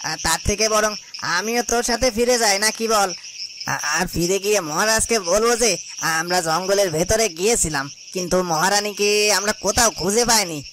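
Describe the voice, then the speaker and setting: A man's voice: sits high, fairly quick, natural voice. A man playing an anxious, cowardly royal guard in a fairy-tale cartoon, hesitant and stammering. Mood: nervous scheming. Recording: noisy, cricket and insect sounds behind.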